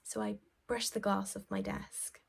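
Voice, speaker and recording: A woman performing a stage monologue as a self-absorbed, well-off young office worker, shaken, halting and sweary as she recounts events. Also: mid-range voice, level tone, full character voice. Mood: numb, shaken. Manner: halting, sweary, breathy drops into near-whisper. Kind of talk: dramatic stage monologue, conversational first-person recounting.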